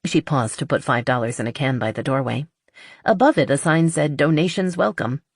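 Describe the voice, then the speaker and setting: A woman's voice: rumbling low, a little fast, husky, no room sound, clean studio sound. A woman reading a romance novel aloud as a scripted audiobook narration.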